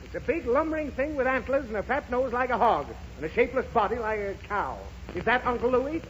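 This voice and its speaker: An exasperated, grumbling American dad from a 1930s radio comedy. His high voice leaps around with irritation as he huffily explains. The old recording is dull, with a faint noise. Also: lively intonation, brisk, fairly bright tone. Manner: irritable, sarcastic, huffy explanations. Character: exasperated, grumbling father of a pestering little girl.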